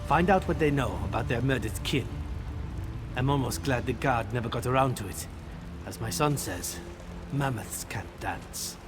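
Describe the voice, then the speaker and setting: An actor playing a blunt, weary warrior turned town official in a fantasy video game, dry and officious. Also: male voice, medium pitch, animated, normal speed, music in the background, natural voice. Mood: wry, businesslike.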